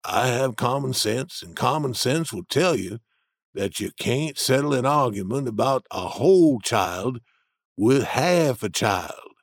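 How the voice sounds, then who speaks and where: A man reading an audiobook, voicing a stubborn grown man who argues slowly and insistently from common sense. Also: mid-range voice, lively intonation, no room sound, clean studio sound, pitched below the performer's own voice. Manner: slow, insistent, arguing.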